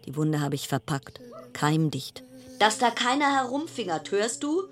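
A woman's voice: medium pitch, big pitch swings, unhurried. A woman acting in a radio play as an anxious, sardonic quarantined passenger, delivering a brooding, dramatic interior monologue. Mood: sardonic, weary.

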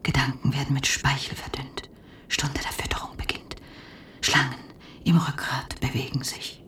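A roomy, distant radio-play recording of a haunted woman. She murmurs breathily like someone in a trance, very low and whispered, frightened and clinging. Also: monotone, moderate pace, a bit bright. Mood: entranced. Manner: breathy, whispered, incantatory delivery. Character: entranced, frightened patient murmuring dark visions, clinging. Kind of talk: radio drama acting. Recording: roomy echo, off the mic.

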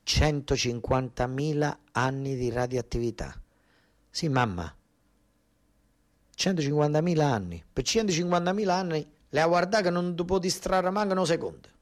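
A man's voice: on the high side, normal speed. Southern Italian man, insistent theatrical storyteller. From Basilicata, southern Italy. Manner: colloquial, insistent, repeating phrases for emphasis. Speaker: actor-storyteller. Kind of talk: narrative theatre monologue.

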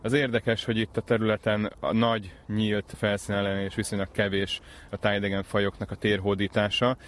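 A man explaining things as a conservation specialist in an outdoor interview, measured and precise. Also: on the low side, level tone. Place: outdoors in a wetland reserve.

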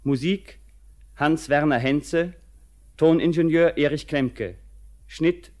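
Slow, formal male radio announcer. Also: fairly high pitch, a little mellow. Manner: formal, measured. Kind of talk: formal scripted cast credits. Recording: 1940s radio broadcast.

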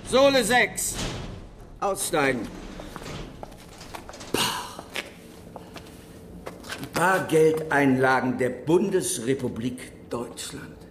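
A man's voice: on the high side, sing-song, slow pace, faint music behind. An actor playing a menacing, contemptuous man with a commanding, scornful manner in a radio crime drama. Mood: angry, contemptuous. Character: menacing, contemptuous man demanding his money.

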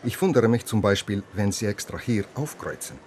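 A man acting as a proud Estonian policeman in a radio play. His voice is fairly low, his delivery formal and slightly condescending, with faint noise behind him. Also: animated, moderate pace, darkish tone, natural voice. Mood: cool, proud. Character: proud, matter-of-fact Estonian policeman questioning a visitor. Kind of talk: German radio crime drama dialogue.

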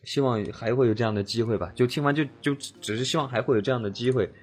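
A man's voice: mid-range voice, quick pace, husky, faint music behind. A man chatting casually as a voice actor guest, joking and rambling as he goes. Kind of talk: casual chat.

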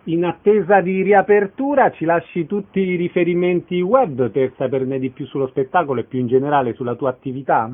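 A man's voice: fairly high pitch, fairly quick, hardly any pauses. A man hosting a radio programme, introducing guests and putting questions to them. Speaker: radio host, interviewer. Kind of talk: radio interview presenting. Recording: narrow-band, tinny radio sound.

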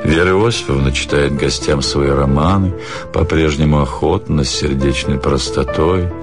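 A man reading a literary story theatrically, with expressive, measured phrasing and dramatic pauses. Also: very deep voice. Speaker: actor reading a short story. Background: music.

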